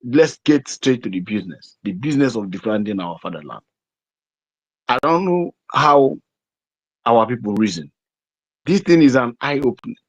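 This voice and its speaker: A Nigerian man giving a deliberate, emphatic political address as an activist speaker, repeating phrases over an online audio call. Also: mid-range voice, lively intonation, no room sound. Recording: dry, dull lo-fi internet call audio.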